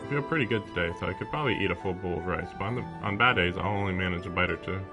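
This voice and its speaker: A man with a low voice reads visual novel narration aloud on a game stream. Game music plays clearly behind him. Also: racing.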